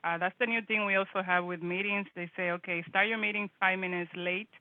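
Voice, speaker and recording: A woman's voice: medium pitch, steady tone, brisk. A middle-aged woman from the Dominican Republic, a guest in a casual live-stream interview, talking in a chatty way with frequent restarts and laughter. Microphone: video call. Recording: tinny phone-like call audio.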